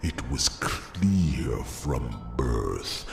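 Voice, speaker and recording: An ancient male dragon king, with an abyssally low voice thick with gravel. He speaks very slowly and breathily, in halting, regretful phrases suited to an ASMR fantasy tale. The voice echoes, with music behind it. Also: very animated, heavily acted. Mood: brooding, regretful. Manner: slow, halting, solemn storytelling. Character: old male dragon, former Alpha king, solemn and regretful. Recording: echoing voice over music and chimes.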